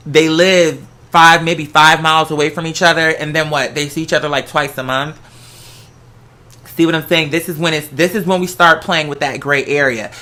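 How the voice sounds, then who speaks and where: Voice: medium pitch, sharp tone. A host giving casual relationship advice in a conversational, sassy way, with slangy, emphatic asides. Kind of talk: casual solo advice talk to listeners.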